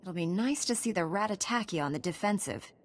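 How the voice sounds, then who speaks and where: An actress playing a calm, resolute heroine knight in a video game, composed and measured. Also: female voice, medium pitch, natural voice. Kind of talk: video game character acting.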